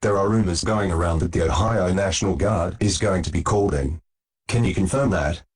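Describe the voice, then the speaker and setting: Male actor, deep serious TV anchor, measured breaking-news delivery, noticeable noise. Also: natural voice. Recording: noisy track with clicks.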